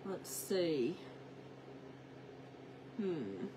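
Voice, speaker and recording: A woman's voice: sits low, big pitch swings, drawn-out words, background noise. A woman, sounding stuffed up from a cold, chatting casually to viewers while she works on a craft. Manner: chatty, talks to herself while working, greets viewers by name. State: congested from a cold. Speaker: craft livestream host. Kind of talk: casual craft livestream chat.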